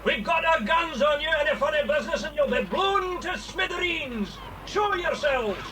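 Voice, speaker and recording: Menacing, high-shouting gunman. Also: expressive, normal speed. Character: threatening armed man hailing from a seaplane. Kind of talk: children's audio drama acting. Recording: distant shout over engine noise.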